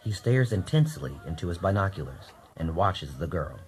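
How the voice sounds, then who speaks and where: A man's voice: sits low, very animated, moderate pace. A man narrating a scripted radio drama in a theatrical storytelling manner.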